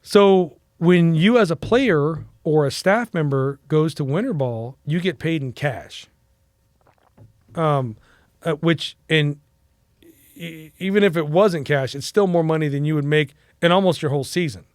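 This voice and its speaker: A man's voice: fairly high pitch, unhurried, dry recording. Rambling Mexican-American man, dry sound. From United States. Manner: rambling with fillers and restarts. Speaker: podcast guest. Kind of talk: casual storytelling interview.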